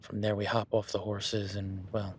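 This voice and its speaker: A man's voice: fairly low pitch, flat delivery, moderate pace, slight background noise, lightly acted. A man playing an easygoing, seasoned cowboy rancher in an audio roleplay, talking to the listener in a folksy, plainspoken way.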